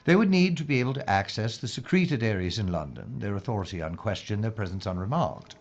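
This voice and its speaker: A man acting as a grave, methodical British investigator in a period radio mystery. His voice is husky and moves widely in pitch as he lays out his thoughts formally and deliberately. The sound is slightly dull. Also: sing-song. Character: earnest, methodical male British investigator in wartime London.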